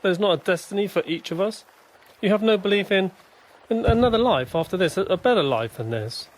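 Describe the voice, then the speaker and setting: Hopeful trench soldier of faith, earnest and questioning, on the high side for a male. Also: expressive, a little fast. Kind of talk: radio drama acting.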